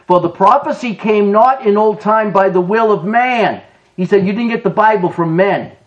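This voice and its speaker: A middle-aged man preaching a sermon in a church, emphatic, with quick chuckling asides. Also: high-pitched, dull audio. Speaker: pastor. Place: church sanctuary.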